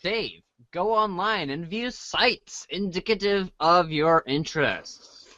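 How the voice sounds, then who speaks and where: Male storybook narrator, lightly high voice, slow halting read, close dull-edged dry recording. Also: expressive, bright, forceful tone, no room sound. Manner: halting reading with stumbles. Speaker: co-host and narrator.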